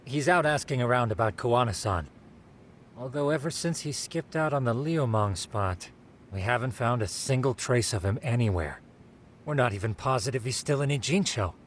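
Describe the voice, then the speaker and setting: Male actor as courteous associate, medium pitch, earnest, faint rumble behind. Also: animated, normal speed, close to an everyday voice. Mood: polite. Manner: courteous, informative. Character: polite, earnest male associate giving updates. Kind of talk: naturalistic video game cutscene acting.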